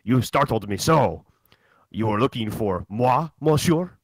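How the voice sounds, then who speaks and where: A man's voice: medium pitch, animated, unhurried, dry recording. A man voicing a flustered, amorous French servant with an exaggerated put-on French accent in a comic reading. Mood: coy, flustered.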